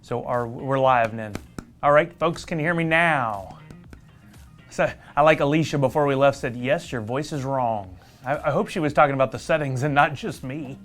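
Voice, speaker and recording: A man's voice: medium pitch, normal speed, fairly bright tone, faint music behind. A man with a Southern US accent hosting a casual company livestream, upbeat and joking with his viewers. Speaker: livestream host from a marketing team. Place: company headquarters studio.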